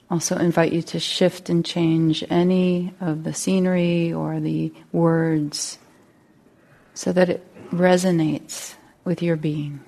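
A woman guides a meditation in a low, very soft-edged, mellow voice. She speaks very slowly and gently, leaving long pauses between short phrases. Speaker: meditation teacher.

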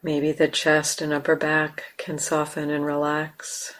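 A woman with an American accent, a meditation teacher leading a guided meditation slowly and softly, with long pauses. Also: deep voice. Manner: slow, soft, calm, with long pauses. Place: meditation hall.

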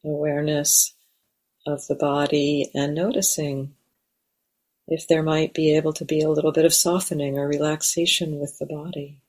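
A woman leading a guided meditation in a gentle, measured way, leaving long pauses. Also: deep voice, level tone. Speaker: meditation teacher. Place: meditation center.

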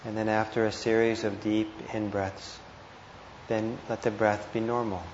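A man teaching meditation, giving guided instructions with gentle, hesitant phrasing and pauses. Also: fairly low pitch, little pitch movement. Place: indoor meditation hall. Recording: muffled, roomy live talk with noise.

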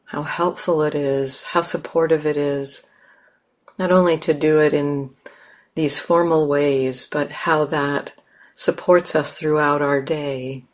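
Slow, gentle woman guiding meditation. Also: sits low, fairly even intonation. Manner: gentle, unhurried, with long pauses. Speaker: meditation teacher. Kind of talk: guided meditation.

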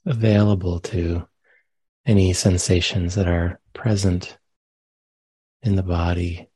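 Man, meditation teacher, low mellow voice, husky softness, gentle phrasing, long pauses. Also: level tone, no echo. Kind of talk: guided meditation. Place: meditation center.

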